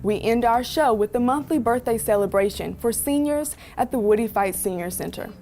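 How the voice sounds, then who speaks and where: A woman's voice: fairly high pitch, normal speed, dark tone, soft background music. A woman hosting a local government program, delivering a friendly scripted sign-off. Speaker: program host.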